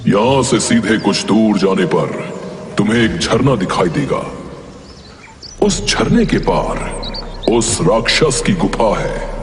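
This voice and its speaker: A slow, low-voiced tree spirit played by a man, an angel under a curse, gruff and solemn. The cartoon voice rings out in long, echoing reverb, commanding and unhurried. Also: expressive. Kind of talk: Hindi cartoon story voice acting. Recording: echoing hall reverb, faint music behind. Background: music.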